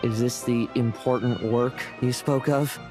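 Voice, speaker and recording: An actor playing a sardonic, self-doubting young knight in a video game, with a theatrical, teasing delivery. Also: male voice, medium pitch, fairly even intonation, unhurried, music in the background, lightly acted. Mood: wry. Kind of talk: dramatic game voice acting.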